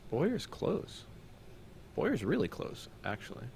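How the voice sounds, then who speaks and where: A man's voice: fairly high pitch, wildly swooping pitch, unhurried, vocal fry, a little mellow, a little hiss or hum. A man with a Canadian accent chatting casually as a guest on a live stream. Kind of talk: casual chat.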